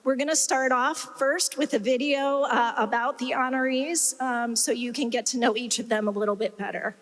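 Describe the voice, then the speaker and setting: Female host, slightly high, casual unscripted remarks, reverberant hall. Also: steady tone, normal speed, a bit bright. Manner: chatty, with many ums. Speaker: event host. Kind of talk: informal remarks at a gala. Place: banquet hall at a gala.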